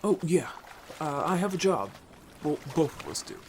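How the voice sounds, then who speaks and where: Lively, animated young merfolk scout played by an actor. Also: mid-range voice, moderate pace, slight background noise, lightly acted. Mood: casual, explaining. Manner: casual, animated, playfully defensive. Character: upbeat, bold, chatty young merfolk scout. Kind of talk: audio story character acting.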